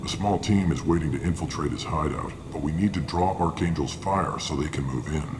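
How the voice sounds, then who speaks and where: An actor playing a gruff, weary, matter-of-fact male mercenary briefing hired guns in a video game. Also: a bass voice, a little fast. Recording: clearly noisy game ambience behind.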